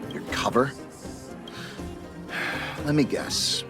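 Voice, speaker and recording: A man's voice: medium pitch, drawn-out words, over music. An actor playing a gloomy, resigned male patient in a video game, with a weary, defeatist manner. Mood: resigned, suspicious.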